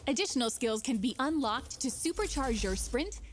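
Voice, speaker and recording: High-voiced woman narrating over prominent music. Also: lively intonation, normal speed. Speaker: narrator of a game showcase. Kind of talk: scripted promotional narration.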